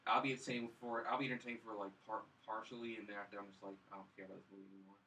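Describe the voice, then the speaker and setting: A man's voice: fairly low pitch, level tone, racing, sharp tone. A young man co-hosting a casual film review podcast, bantering in a blunt, dismissive way. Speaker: podcast co-host reviewing films. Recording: roomy distant mic, background noise.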